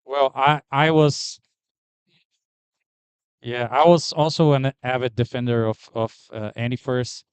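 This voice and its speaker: A man speaking on a conference panel, loud and decisive. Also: medium pitch, fairly even intonation, slow pace, pauses often, clean tone, dry recording. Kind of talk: panel discussion.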